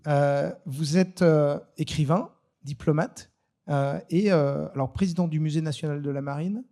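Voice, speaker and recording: A man hosting an informal live panel, speaking in a hesitant, halting way. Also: a little high, warm tone. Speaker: panel moderator.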